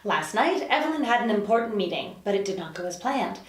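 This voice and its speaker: A woman's voice: mid-range voice, big pitch swings, brisk, lightly acted. A woman reading the part of a chirpy, bossy, sardonic resident of hell in a drama table read. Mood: firm, businesslike. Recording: roomy echo, distant mic.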